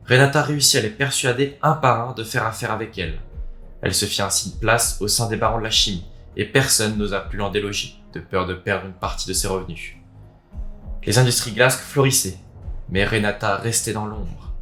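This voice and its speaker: A man's voice: on the low side, a bit bright. A man presenting a video, talking and reading aloud as its host. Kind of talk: casual video introduction, then expressive reading of a written story. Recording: roomy echo, music underneath. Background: background music.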